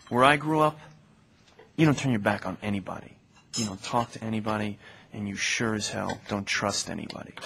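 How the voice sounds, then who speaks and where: An actor playing a guarded, streetwise young cemetery worker in an audio drama, speaking plainly and naturally. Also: male voice, on the low side, noisy background, close to an everyday voice. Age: young adult. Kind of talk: naturalistic radio drama dialogue.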